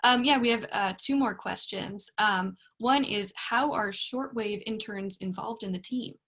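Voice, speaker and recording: A woman's voice: mid-range voice, a little slow, a bit bright, slightly rough. A woman moderating a talk, reading out audience questions in a polite, slightly hesitant way. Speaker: event moderator.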